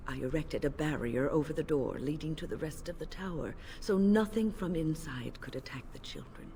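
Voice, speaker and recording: Older female mage, stern, protective, formal measured speech, fantasy game voice. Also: sits low, mellow, slight background noise. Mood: grave, measured. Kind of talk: video game voice acting.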